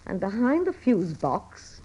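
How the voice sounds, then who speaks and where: An actress playing a weary, fiercely loyal older wife and mother in a radio drama, pleading emphatically in a husky voice. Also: mid-range voice, sing-song, slow pace, mellow, lo-fi sound, heavily acted. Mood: anguished. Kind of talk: dramatic radio play acting.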